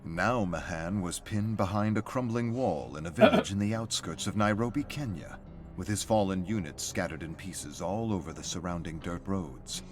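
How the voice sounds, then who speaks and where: A man's voice: low voice, animated, moderate pace. A man reading scripted story narration as the narrator of a game's lore. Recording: faint music under the narration. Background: music.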